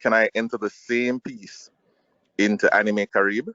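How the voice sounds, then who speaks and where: A man's voice: on the low side, steady tone, moderate pace, sharp tone, dry recording. A polite man asking questions as a participant in an informal online meeting. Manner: polite, apologetic. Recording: dry but dull online-call audio.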